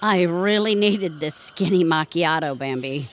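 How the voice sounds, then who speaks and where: A woman playing a chatty, sarcastic, sharp-tongued wife in a comedic audio drama. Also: fairly low pitch, unhurried, a little hiss or hum, natural voice.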